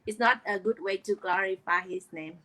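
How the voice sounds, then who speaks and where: Earnest Vietnamese-accented woman, bright voice. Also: medium pitch, moderate pace, low-quality recording. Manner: earnest, hesitant with many fillers. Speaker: guest, online business coach. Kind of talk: personal story in an interview.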